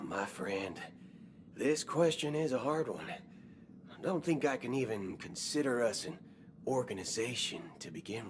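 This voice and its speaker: An actor playing a swaggering, wry cowboy gunslinger in a video game, talking in folksy slang. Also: male voice, fairly low pitch. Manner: folksy slang, slow drawling delivery. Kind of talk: video game cutscene acting. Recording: game audio with background music.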